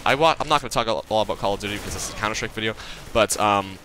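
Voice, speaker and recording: A man's voice: fairly low pitch, racing, bright, forceful tone, a little hiss or hum. A nineteen-year-old man giving casual gameplay commentary, rambling and profane, stuttering and leaving sentences unfinished. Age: young adult. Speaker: gaming channel host.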